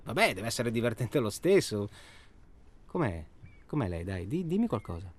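A man's voice: fairly low pitch, sing-song, moderate pace. An actor playing a weary, restless man tormented by his job, who rambles in an agitated, sardonic, naturalistic way. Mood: teasing, prying. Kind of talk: naturalistic radio drama dialogue.

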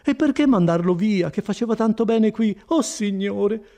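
A man's voice: high-pitched, animated, normal speed, mellow, pitched above the performer's own voice. A man voicing a distressed, middle-aged peasant woman in an audiobook, with wailing, pleading exclamations. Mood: anguished, pleading. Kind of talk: dramatised audiobook reading.

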